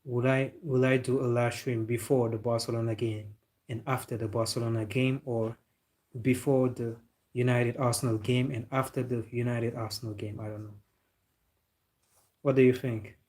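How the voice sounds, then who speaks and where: A man's voice: mid-range voice, level tone, moderate pace, a little mellow. A young man hosting a football live stream, chatting casually with viewers in a loose, laid-back way. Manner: loose and chatty, calls viewers bro, talks with a laugh.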